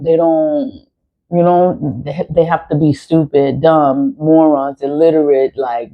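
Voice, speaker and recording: Blunt, profane woman in her forties. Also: sits low. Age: 40s. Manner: repetitive, emphatic phrasing. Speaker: spiritual vlogger. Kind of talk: unscripted monologue to viewers. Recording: dull lo-fi recording.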